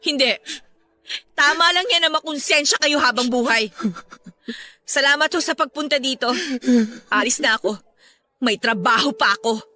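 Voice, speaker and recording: An actress with a Filipino accent playing a bitter, defiant young adult daughter in an emotional, accusing radio drama style. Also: female voice, sits high, moderate pace, pauses often, sharp tone. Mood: cold, resolute.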